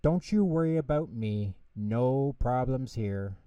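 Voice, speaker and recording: Brief: man as small sulky mouse, bedtime tale; huffy, dramatic, very expressive, slightly off-mic. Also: mid-range voice, slow, dry recording, distant mic, dull audio.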